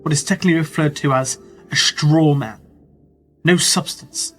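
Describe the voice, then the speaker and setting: A sceptical, guarded writer played by a male actor in a radio drama. His voice is somewhat high for a man, with a dry, challenging way of putting questions. Faint music plays behind him. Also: normal speed, natural voice. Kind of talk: radio play dialogue.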